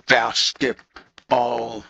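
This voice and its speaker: Gravelly man in slow gameplay commentary, voice-changed. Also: medium pitch, level tone, pushed and bright, highs cut off, a little hiss or hum. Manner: short, fragmented exclamations, often repeating words. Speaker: gamer recording gameplay.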